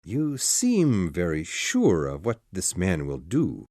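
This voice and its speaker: A calm, businesslike lawyer, voiced by a man, speaking in a formal, measured way. His delivery is slow, his pitch moving widely. The recording is dry and close. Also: no room sound, heavily acted. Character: calm, businesslike middle-aged male lawyer. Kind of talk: audiobook dialogue.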